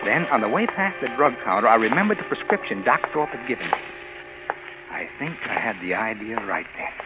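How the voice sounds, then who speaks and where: Actor as scheming American husband, muffled old radio. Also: male voice, mid-range voice, sing-song, fairly quick, over loud music, lightly acted. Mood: brooding, calculating. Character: scheming, greedy husband narrating his murder plot. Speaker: lead actor and narrator in radio drama. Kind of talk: 1940s radio noir acting, first-person narration.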